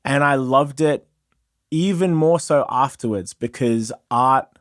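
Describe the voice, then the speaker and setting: A man's voice: medium pitch, unhurried, no room sound. A middle-aged man in a casual studio conversation, effusive and wordy, laughing often and searching for words. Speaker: freestyle MC and podcast guest. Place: small studio room. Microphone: studio mic on stand, headphones.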